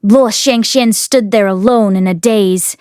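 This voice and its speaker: A woman narrating a novel as an audiobook reader, in an expressive, emotive storytelling manner. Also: medium pitch, a little slow, clean tone, dry recording, clean studio sound. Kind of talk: dramatic narrated novel reading.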